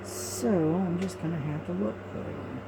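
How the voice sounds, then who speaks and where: Sleepy, quiet low-voiced woman. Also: measured pace, noisy background. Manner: quiet, soft-spoken and rambling. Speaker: crafting vlogger. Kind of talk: casual chat while diamond painting. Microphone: cell phone.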